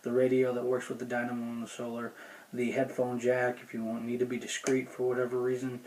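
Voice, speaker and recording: A man's voice: mid-range voice, monotone, brisk, a little mellow, low-quality recording. A man giving a casual, unscripted product review in a plainspoken, folksy, rambling way. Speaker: gear review video host.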